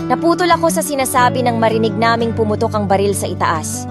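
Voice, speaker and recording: A woman's voice: on the high side, quick pace, close to an everyday voice. A young woman playing a lovestruck heiress, narrating her own romance story in an emotive, dramatic way. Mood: anxious. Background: music.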